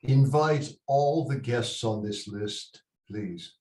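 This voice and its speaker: A man's voice: mid-range voice, slow pace, darkish tone, lightly acted. A man playing a bossy, hot-tempered but doting Mexican patriarch at a table read, exasperated, swearing and slipping into Spanish. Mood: bossy, irritated. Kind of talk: table read of a comic Shakespearean-style play. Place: indoor room, on a video call. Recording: roomy echoing video-call audio.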